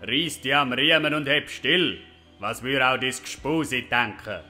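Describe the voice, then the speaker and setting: A man's voice: mid-range voice, measured pace, sharp tone, soft background music. An actor playing a stubborn, boastful folk-hero father with a Swiss German accent in a comic audio play. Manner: defiant, declamatory.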